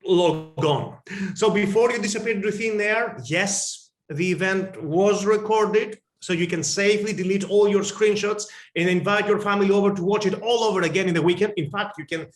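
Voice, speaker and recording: A man's voice: sits high, moderate pace. Playful Greek-accented man, roomy sound. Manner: witty, playful, joking asides. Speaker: conference co-chair. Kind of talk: closing remarks at an online conference. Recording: roomy echoey video-call audio.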